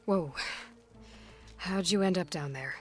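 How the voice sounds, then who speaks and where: A woman's voice: on the low side, slow. Determined young hunter girl, earnest n straight talking, video game hero, faint music behind. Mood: practical, focused. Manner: earnest, direct. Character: young female hunter heroine, compassionate, determined, practical. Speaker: game character actor. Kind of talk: naturalistic game cutscene acting.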